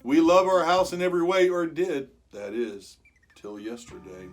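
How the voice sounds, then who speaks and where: A storyteller reading a rhyming picture book aloud to children in an expressive way, stretching words for emphasis and chatting with young listeners. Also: medium pitch, normal speed, faint music behind. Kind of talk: animated children's read-aloud of rhyming verse.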